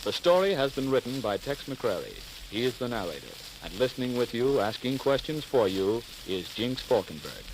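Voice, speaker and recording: A man's voice: medium pitch, very animated, normal speed, few pauses. An American man introducing a 1940s radio program in a dramatic, declamatory announcer style. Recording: old crackly 1940s radio recording. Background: music.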